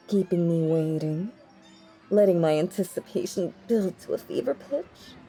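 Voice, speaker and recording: A woman playing a possessive, lovestruck stage magician in a roleplay audio drama, theatrical and doting, often breathy or whispering. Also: mid-range voice, mellow, poor audio. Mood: teasing, impatient. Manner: theatrical, doting, drawn-out hypnotic cooing. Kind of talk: roleplay audio drama, intimate second-person monologue.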